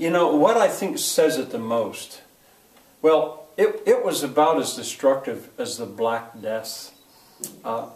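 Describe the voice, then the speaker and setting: An older man giving a history lecture to a class, halting and full of fillers and restarts. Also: mid-range voice. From United States. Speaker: teacher. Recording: echoey room, voice from far off.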